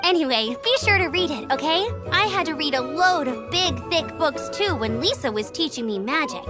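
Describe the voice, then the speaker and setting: A woman's voice: high-pitched, lively intonation, normal speed. A woman voicing a peppy, upbeat young woman knight who chats eagerly in a game dub. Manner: bubbly, upbeat, chatty. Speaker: gliding examiner. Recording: game audio with music behind.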